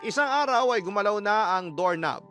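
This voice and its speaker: A man's voice: high voice, expressive, moderate pace, bright, forceful tone. A Filipino man giving a sorrowful, dramatic first-person scripted narration as a radio storyteller. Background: music.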